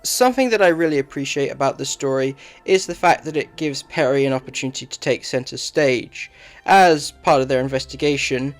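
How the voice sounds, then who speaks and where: A man's voice: mid-range voice, brisk. Man narrating a review over music.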